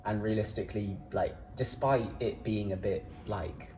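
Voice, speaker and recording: Low-voiced young man, hesitant. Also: moderate pace, gravelly, warm tone, slight background noise, low-quality recording. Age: young adult. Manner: thoughtful, hesitant, many fillers. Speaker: guest. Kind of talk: casual interview chat.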